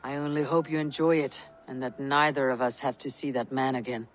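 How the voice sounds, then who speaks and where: An actress playing a stoic, proud Norse warrior woman in a video game, speaking in a terse, firm, measured way. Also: very deep voice, steady tone, faint music behind. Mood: calm, resolute.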